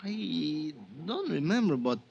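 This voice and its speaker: Slow-talking Mexican bellboy, old radio. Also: male voice, a little high, big pitch swings, creaky, a little mellow, lo-fi sound, heavily acted. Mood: languid, coy. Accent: Mexican Spanish. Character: languid, money-minded Mexican hotel bellboy. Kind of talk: 1950s radio drama acting. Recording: noisy, clicky old radio recording.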